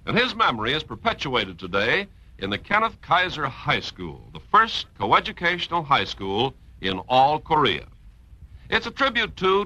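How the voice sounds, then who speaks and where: A man's voice: mid-range voice, sharp tone, dry recording, rough recording. A man reading scripted patriotic narration as a 1950s military radio announcer, formal and declamatory.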